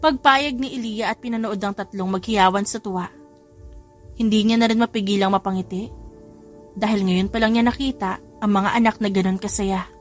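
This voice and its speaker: A woman's voice: mid-range voice, steady tone, normal speed. A woman narrator reading a scripted romance story as an audiobook. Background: background music.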